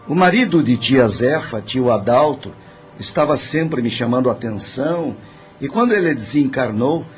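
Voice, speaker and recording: A man's voice: medium pitch, close to an everyday voice. Calculating greedy Brazilian schemer acted by a man. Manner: matter-of-fact, calculating. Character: dead greedy scheming nephew, confessing coldly. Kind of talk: audio drama acting.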